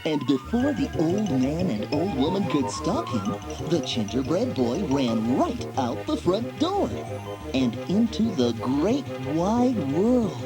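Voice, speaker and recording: A man narrating a children's bedtime story in an expressive, dramatic storyteller's manner. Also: a little high, slow pace. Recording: music behind the voice. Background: music.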